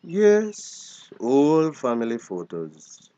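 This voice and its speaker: An old Belizean grandfather in a drama, worn down and bitter, yet devoted to family. He takes his time over words, and his pitch moves up and down a great deal. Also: huge pitch swings, drawn-out words, warm tone, lo-fi sound, heavily acted. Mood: reserved. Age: older. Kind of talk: screen drama dialogue.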